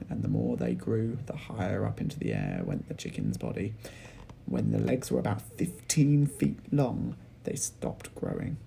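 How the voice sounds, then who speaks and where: A man with an English accent reading a children's story aloud like a teacher, in animated narration. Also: fairly low pitch, creaky, slight background noise, rough recording. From England.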